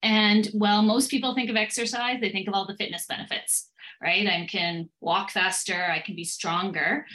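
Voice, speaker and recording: A woman's voice: mid-range voice, steady tone, moderate pace, bright, forceful tone, low-quality recording. A woman speaks as a guest expert on a podcast. She explains research in a fluent, confident and orderly way.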